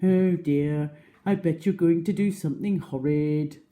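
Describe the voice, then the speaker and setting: A woman's voice: sits low, a little slow, warm tone. A woman voicing a gigantic hippopotamus in a storybook, hearty and emphatic, with some disapproval. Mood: wary, disapproving. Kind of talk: storybook character reading.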